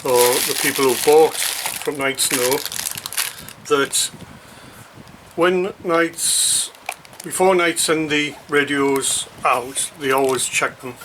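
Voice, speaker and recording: Man, slow halting delivery, matter-of-fact, unscripted unboxing commentary, clear background noise with crinkling packaging. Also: on the high side, a bit bright, poor audio. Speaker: radio hobbyist presenting an unboxing.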